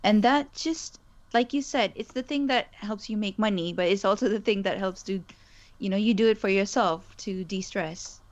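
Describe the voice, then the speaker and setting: A woman's voice: mid-range voice, normal speed, muffled recording. A woman whose first language is Urdu, a guest in a casual interview, speaking thoughtfully with frequent restarts. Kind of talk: conversational interview.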